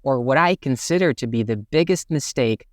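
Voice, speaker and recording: A man's voice: mid-range voice, animated, normal speed, dry recording, clean studio sound. A young man from Tatarstan with a Russian accent, hosting his channel and talking thoughtfully about learning English, with many fillers. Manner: thoughtful, with many fillers like "you know" and "right". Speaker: English teacher, channel host. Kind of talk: reflective talk to camera.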